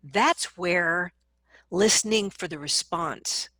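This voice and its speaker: Female vocal coach, interview guest. Slightly low pitch, dry sound, conversational and demonstrative. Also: slow pace, sharp tone, dry recording. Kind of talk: conversational interview, explaining advice with examples.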